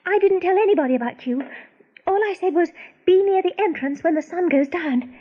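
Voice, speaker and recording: A woman's voice: extremely high pitch, brisk. A woman playing an anxious, pleading young rabbit doe in a radio play. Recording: slightly dull radio recording.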